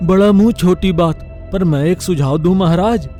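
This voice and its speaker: Man as a meddling, high-pitched spiteful monkey. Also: normal speed, mellow, faint music behind, close to an everyday voice. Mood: wheedling.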